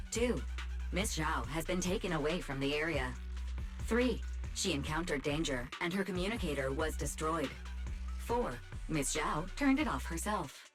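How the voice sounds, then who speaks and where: An actress voicing an analytical female AI assistant program in a video game, matter-of-fact and listing points. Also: sits low.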